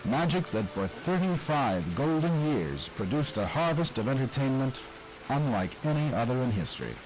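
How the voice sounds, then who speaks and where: A man's voice: mid-range voice, big pitch swings, low-quality recording. Reflective male documentary narrator. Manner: measured, reflective. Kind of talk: scripted narration.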